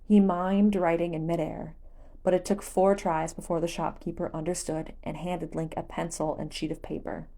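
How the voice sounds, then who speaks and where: A woman's voice: on the low side, flat delivery, moderate pace, vocal fry, dark tone. A woman reading a story aloud as scripted audiobook narration. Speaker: audiobook narrator of fan fiction.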